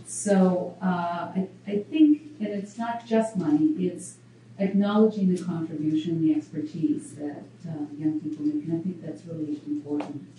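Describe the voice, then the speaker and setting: A woman's voice: on the low side, steady tone, moderate pace, mellow. A female researcher at a public café panel, speaking earnestly and firmly with frequent "um"s. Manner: earnest, firm, many "um"s. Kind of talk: panel discussion. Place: coffeehouse event room. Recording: echoey room, distant mic, faint noise.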